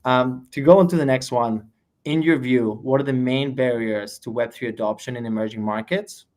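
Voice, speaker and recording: A man with a mid-pitched voice hosts an interview over a remote call. He reads out questions and speaks in an upbeat, complimentary way toward his guest, with plenty of "you know" fillers. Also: normal speed, darkish tone. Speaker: podcast host. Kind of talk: interview hosting.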